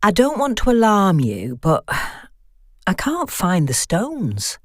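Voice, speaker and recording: A woman voicing a concerned, frank female friend talking briskly on the phone in a horror story. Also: mid-range voice, huge pitch swings, dry recording, studio quality, pitched above the performer's own voice, heavily acted. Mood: concerned, explaining. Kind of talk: audio horror story dialogue.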